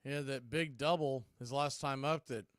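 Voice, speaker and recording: A man's voice: mid-range voice, fairly even intonation, normal speed, fairly bright tone, dry recording, clean studio sound. An American man calling live baseball play-by-play as an announcer.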